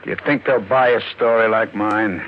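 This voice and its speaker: A man's voice: on the low side, fairly even intonation, normal speed, lightly acted. An American man acting a cornered, wary husband on the run in a 1940s radio drama, in terse, colloquial lines. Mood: urgent, desperate. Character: cornered, desperate fugitive husband, wary and hard-boiled. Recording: muffled, noisy old radio recording.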